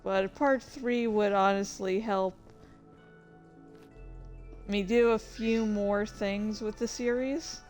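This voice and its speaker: A woman streamer chatting casually about her own writing in a halting, rambling, self-deprecating way. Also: medium pitch, steady tone, a little mellow, faint music behind. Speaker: streamer and fan fiction author. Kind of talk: casual livestream chat.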